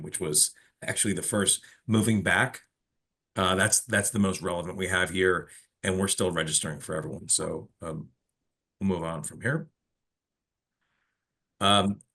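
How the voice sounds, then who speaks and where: A man's voice: low-pitched, fairly bright tone. A man hosting an informal business webinar, explaining things and putting questions to a colleague. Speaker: webinar host of a telecom company.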